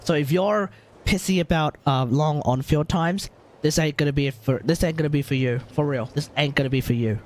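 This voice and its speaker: A man's voice: a little high, brisk, slight background noise. A man livestreaming a video game, talking casually and thinking aloud in an informal way. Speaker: game streamer. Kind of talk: casual live gameplay commentary. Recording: game music behind the voice.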